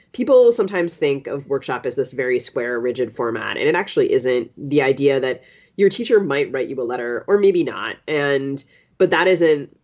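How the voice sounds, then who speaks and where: A woman's voice: rumbling low, a little mellow, muffled recording. A woman guesting as a writer on a podcast, chatting reflectively and breaking off her own sentences as she recalls. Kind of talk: casual conversational podcast interview.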